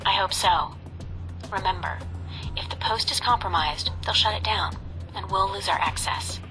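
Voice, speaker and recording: A woman playing a composed, cautious intelligence handler in a video game, speaking in a measured, businesslike way. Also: mid-range voice, lightly acted. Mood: businesslike briefing. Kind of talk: video game cutscene acting. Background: prominent music.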